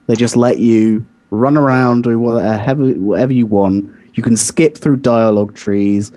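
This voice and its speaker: A man's voice: mid-range voice, steady tone, normal speed, mellow. A man co-hosting a podcast in a casual debate about video games, insistent and contrarian in how he talks. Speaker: podcast co-host.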